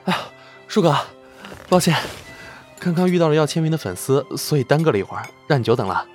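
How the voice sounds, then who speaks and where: A man's voice: a little high, sing-song, fast talker, a little mellow, faint music behind. An actor playing an eager, deferential young male film star in a Chinese audio drama. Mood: eager, polite.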